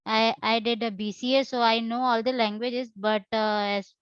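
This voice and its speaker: Woman from India, high flat voice, hesitant and halting, dry muffled call audio. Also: clear, resonant tone, dry recording. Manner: halting and hesitant, with many pauses and fillers. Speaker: course participant. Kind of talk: self-introduction.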